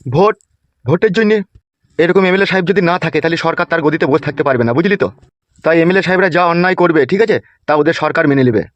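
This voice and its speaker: A man's voice: a little high, fast, no echo, dull audio, close to an everyday voice. A man playing a scheming, ruthless village gang boss in a cartoon, with a blunt, commanding manner. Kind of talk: comic Bengali cartoon dialogue.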